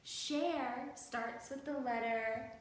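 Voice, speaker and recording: Echoey, higher-voiced woman hosting children's storytime. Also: steady tone. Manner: encouraging, exaggerated slow enunciation of key words. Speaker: children's library storytime host. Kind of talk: children's storytime teaching, talking to young viewers. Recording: very echoey room, distant mic, faint noise.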